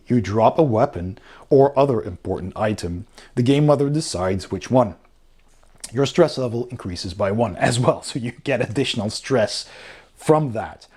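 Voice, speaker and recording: A man's voice: mid-range voice, moderate pace, darkish tone. A man reviewing a game rulebook in a casual, explanatory way, talking informally as he goes through it.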